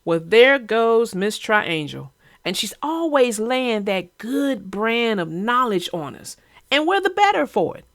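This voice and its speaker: A woman's voice: on the high side, very animated, measured pace, fairly bright tone, no echo. A woman hosting a children's read-aloud show, chatting about a story with young viewers.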